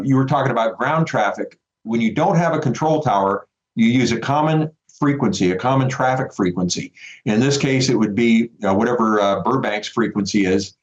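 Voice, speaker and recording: A man's voice: medium pitch, dull audio. A man speaking as a veteran pilot guest, explaining things conversationally over a remote connection.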